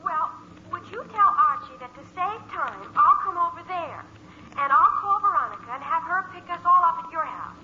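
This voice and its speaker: A woman's voice: very high-pitched, animated, moderate pace. An actress playing a chirpy, oblivious teenage girl, gushing through a telephone in vintage radio sitcom style. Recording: dull, noisy old radio recording.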